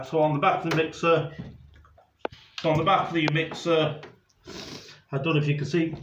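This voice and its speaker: A man's voice: fairly high pitch, a little hiss or hum. A man, a DJ, giving a casual how-to demonstration while handling gear, rambling and restarting sentences as he goes. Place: room with a camera operator. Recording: roomy, slightly dull home video audio.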